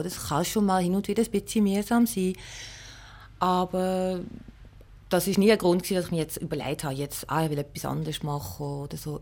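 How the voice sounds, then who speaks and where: A woman's voice: low-pitched, darkish tone. A woman from Belgium and Luxembourg, answering in a conversational radio interview, reflective and matter-of-fact. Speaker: musician interview guest, piano and singing teacher.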